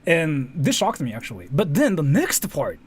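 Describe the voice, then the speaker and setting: A man's voice: a little high, wildly swooping pitch, moderate pace, slightly rough. A man giving casual, rambling, sweary reaction commentary, often repeating himself. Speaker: commentary streamer. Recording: faint background noise.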